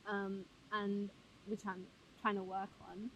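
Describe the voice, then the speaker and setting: A woman's voice: medium pitch, level tone, slow, fairly bright tone, slight background noise, low-quality recording. A woman hosting a casual interview podcast, talking in a chatty way with frequent fillers. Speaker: podcast host.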